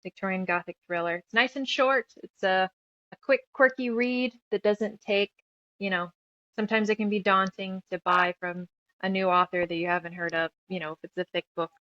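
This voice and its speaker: A woman's voice: mid-range voice, fairly even intonation, normal speed, fairly bright tone, no room sound. Chatty woman, slightly dull lo-fi sound. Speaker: guest author and musician. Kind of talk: casual interview chat.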